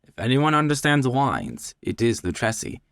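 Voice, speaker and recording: A man's voice: medium pitch, lively intonation, normal speed, a bit bright, no echo, clean studio sound, pitched above the performer's own voice. A man voicing a falsely friendly, scheming nobleman in a storybook reading, coaxing and politely solicitous. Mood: sly coaxing.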